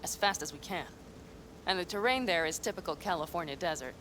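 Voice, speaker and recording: A blunt, self-assured nomad woman, laying out her plans in a dry, matter-of-fact way. Her voice sits a little low, with faint noise under the game dialogue. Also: expressive, a little fast, fairly bright tone, rough recording. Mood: calm, assured. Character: older female desert nomad planner, blunt and dry. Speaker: game character.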